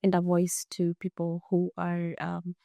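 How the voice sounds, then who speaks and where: A woman hosting an interview, asking questions slowly with many hesitations. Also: lowish voice, completely flat delivery, gravelly, dry recording, studio quality. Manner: slow and hesitant, many ums and soft mm-hmms. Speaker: interview host.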